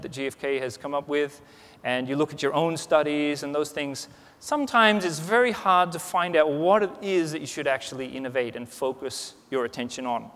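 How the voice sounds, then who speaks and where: A man in his forties giving a conversational conference keynote on a large stage, with lots of restarts and "you know.". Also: a little high. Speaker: keynote speaker. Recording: reverberant conference hall.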